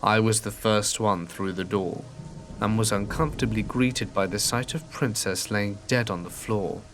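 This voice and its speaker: Low-voiced man narrating over faint rain. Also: steady tone, moderate pace, background noise. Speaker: narrator of submitted stories. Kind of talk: calm scripted horror narration. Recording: faint rain ambience behind.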